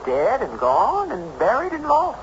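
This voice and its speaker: An actress in an old radio drama playing a plain-spoken, sceptical housewife with a folksy, blunt way of talking. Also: female voice, fairly high pitch, huge pitch swings, unhurried. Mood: blunt, incredulous. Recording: noisy dull old radio recording.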